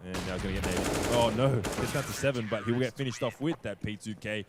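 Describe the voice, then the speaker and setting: A man doing rapid, excitable play-by-play commentary on a match. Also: fairly low pitch, a little hiss or hum. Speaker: esports caster.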